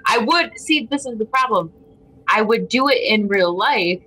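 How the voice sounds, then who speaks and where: Female player, husky yet bright and forceful, casual, questioning, skeptical. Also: on the high side, lively intonation, normal speed, poor audio. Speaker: game player, guest. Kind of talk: casual chat.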